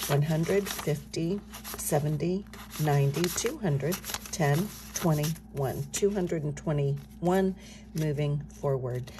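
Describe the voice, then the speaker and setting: A middle-aged woman talking softly while filling cash envelopes at her home desk, her voice a bit scratchy from just waking up. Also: deep voice. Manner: soft-spoken, talking softly. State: slightly scratchy morning voice. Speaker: budgeting channel host. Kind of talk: casual chat while stuffing cash envelopes.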